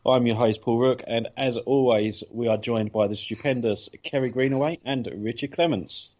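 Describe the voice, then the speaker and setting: A man's voice: medium pitch, normal speed, darkish tone. A man hosting a casual radio chat show, talking with many ums and restarts. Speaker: podcast host. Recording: dull lo-fi audio.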